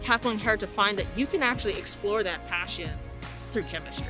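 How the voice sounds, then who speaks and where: A woman professor speaking in a measured, reflective way in a documentary interview. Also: mid-range voice. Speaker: professor and mentor. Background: music.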